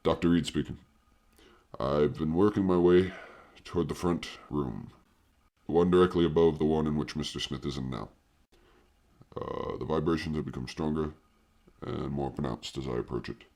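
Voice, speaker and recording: Very deep-voiced, solemn psychic investigator. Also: male voice, moderate pace, a little mellow. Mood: intent, grave. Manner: formal, solemn, precise, pompous. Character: grave, self-important male doctor investigating psychic phenomena. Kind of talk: audio horror drama, formal field report.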